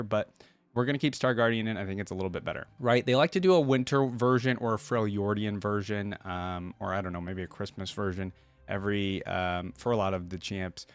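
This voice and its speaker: A man giving casual reaction commentary as a video host, talking informally and thinking out loud. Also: lowish voice, clear, resonant tone.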